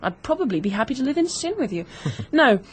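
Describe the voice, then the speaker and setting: Plucky young British amateur sleuth, vintage radio play: high, slightly husky, lively, frank. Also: female voice, full character voice. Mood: confident, flippant. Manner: brisk, frank, flippant. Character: bold, quick-witted young woman plotting an undercover ruse. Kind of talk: old-fashioned British radio drama acting. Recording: muffled vintage radio drama recording.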